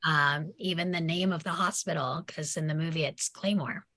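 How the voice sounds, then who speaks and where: A woman with a low-pitched yet bright voice talks casually about film. She is thoughtful and hesitant, and the sound is dry. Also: steady tone, moderate pace, no room sound. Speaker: discussion participant.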